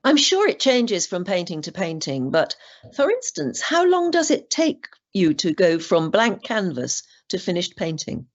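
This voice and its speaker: A woman's voice: medium pitch, measured pace. A British woman interviewing an artist, asking questions with encouraging follow-ups. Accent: British English. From Britain. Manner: warm prompting questions, lively intonation. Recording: dull, slightly muffled sound.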